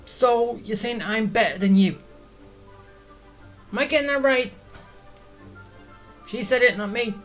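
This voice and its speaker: A woman's voice: a little high, unhurried, pauses often, low-quality recording. A woman streaming a game with casual, teasing, sarcastic commentary. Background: video game music.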